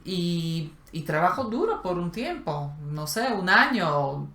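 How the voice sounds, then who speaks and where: A woman co-hosting a casual conversation, telling stories with pauses and restarts. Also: lowish voice, sing-song, pushed and bright. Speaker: co-host of a language channel. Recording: roomy echo.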